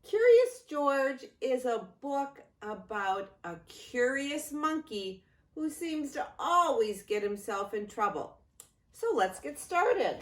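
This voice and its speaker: An older woman reads a picture book to young children at story time. Her voice sits fairly high and swings widely in pitch. She takes it very slowly, stretching words and leaving pauses for expression. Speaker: grandmother reading a storybook to grandchildren.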